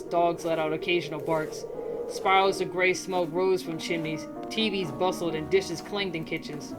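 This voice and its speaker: A woman hosting a gaming video reads a horror game's narration aloud, between casual asides. Her voice sits somewhat low. Clear music plays beneath her. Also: poor audio. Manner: casual, then steady storytelling. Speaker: gaming channel host. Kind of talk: reading a visual novel game aloud.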